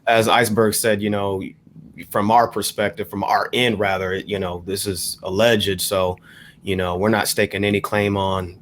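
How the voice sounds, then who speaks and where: A man co-hosting a podcast, talking through an issue in a measured, explanatory way with careful hedging. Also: lowish voice, little pitch movement, fairly bright tone, slight background noise. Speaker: podcast co-host. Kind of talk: panel discussion commentary.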